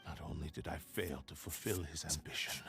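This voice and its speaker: A grave, deep sword spirit. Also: male voice, fairly even intonation, creaky, a bit bright, exaggerated voice. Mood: remorseful. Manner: grave, measured and sorrowful, at times whispery. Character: solemn remorseful spirit of a sentient cursed sword. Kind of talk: game voice acting. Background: game music.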